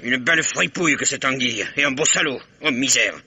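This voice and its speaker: A man's voice: medium pitch, brisk, bright, forceful tone, slightly rough, heavily acted. An actor playing an evasive, blustering old local man in a 1950s-style radio drama. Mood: grumbling. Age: older. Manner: blustering, dodging questions, grumbling.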